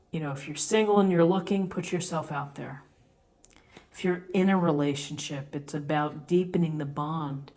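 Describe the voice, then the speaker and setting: Slow, even-toned woman reading tarot. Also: low voice. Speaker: tarot reader, host. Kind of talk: unscripted tarot reading, explaining cards.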